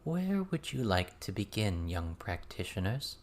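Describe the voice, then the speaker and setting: A man voicing a calm, courteous female forest spirit with a slow, quiet manner in an audiobook. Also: fairly low pitch, exaggerated voice. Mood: courteous, probing.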